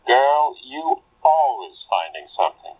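A man's voice: mid-range voice, very animated, a little slow, gravelly, slightly rough, heavily acted. A man playing a teasing, dismissive streetwise fence and con man in an audio drama, heard through a telephone filter. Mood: teasing, mock hurt. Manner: streetwise, slangy, mocking. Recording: tinny narrow phone line.

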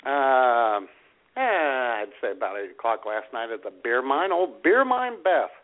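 A man's voice: medium pitch, sing-song, unhurried. A man co-hosting a casual comedy radio show, chatting in an easygoing, folksy way. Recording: thin phone-like internet radio audio.

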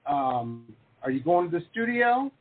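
A man's voice: fairly high pitch, big pitch swings, unhurried. A man hosting a podcast interview, chatting casually and teasing his guest with jokes. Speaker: podcast host. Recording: tinny phone-like call audio.

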